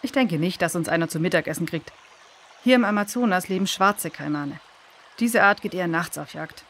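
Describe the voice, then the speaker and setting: Confident researcher, faint stream noise. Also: female voice, fairly low pitch, a little fast, fairly bright tone. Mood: reassuring. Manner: matter-of-fact, reassuring. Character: confident, calm river researcher fond of idioms. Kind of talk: children's audio drama acting.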